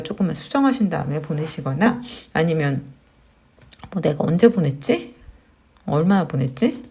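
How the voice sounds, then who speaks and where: A woman's voice: on the low side, dark tone, slightly rough. A woman in her thirties giving a friendly, explanatory screen-share tutorial on marketing, with fillers as she talks. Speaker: marketing instructor.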